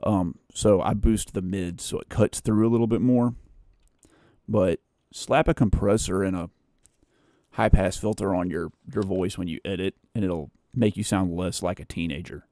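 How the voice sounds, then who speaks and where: A man with a naturally bassy voice chatting casually and in a rambling way as a livestream host, close on a studio condenser microphone. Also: normal speed, dark tone, dry recording. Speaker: livestream host editing a video. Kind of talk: casual livestream chat while editing.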